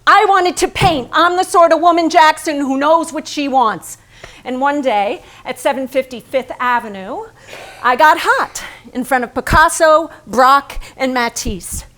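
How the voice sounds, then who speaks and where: A woman's voice: high-pitched, unhurried, a bit bright. An actress playing an intense, blunt, strong-willed woman painter in a theatrical staged monologue, insistent and questioning. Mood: defiant, proud. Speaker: stage actor. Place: staged reading in a barn before an audience.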